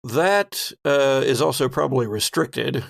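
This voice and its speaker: A man serves as game master, describing scenes and rules off the cuff. His voice is creaky and middling in pitch, recorded close and dry. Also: unhurried, dry recording. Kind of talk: improvised tabletop game narration.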